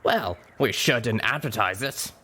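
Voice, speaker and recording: A man's voice: medium pitch, animated, a little slow, sharp tone, breathy edge, voice pushed up, full character voice. Man as hard-bitten griffin soldier of fortune, sardonic and blunt, audiobook role. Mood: wry.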